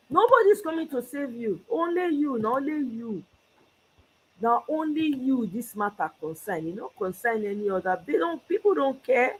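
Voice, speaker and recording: A woman's voice: high-pitched, a little hiss or hum, poor audio. A Nigerian woman hosting her own commentary channel, talking with passion and moving between English and Pidgin. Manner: impassioned, mixing Pidgin with English. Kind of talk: solo political commentary. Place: abroad, away from Nigeria.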